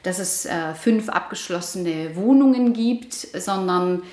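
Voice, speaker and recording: A woman in her forties, born in Iran, answering interview questions thoughtfully with many pauses and restarts. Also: fairly low pitch, a little mellow. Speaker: interviewee, housing project resident. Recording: roomy echo.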